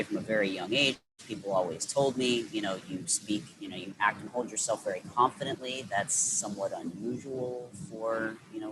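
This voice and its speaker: A man with a fairly low voice talks through his experiences in a loose, rambling way, full of restarts and fillers. Clear background noise sits under the recording. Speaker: interview guest. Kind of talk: reflective conversational interview.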